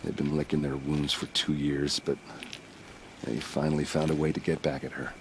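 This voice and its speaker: An actor playing a gruff, self-deprecating soldier in a video game, blunt and wry, with a weary manner. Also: male voice, extremely low pitch, level tone, normal speed, a little hiss or hum. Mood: bitter, vengeful. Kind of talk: dramatic video game acting.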